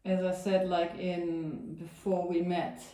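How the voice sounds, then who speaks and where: A woman's voice: on the low side, little pitch movement, takes it slowly, mellow. A woman hosting a podcast, chatting casually with a guest, encouraging and thinking aloud with fillers. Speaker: podcast host. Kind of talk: casual interview chat. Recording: roomy echo.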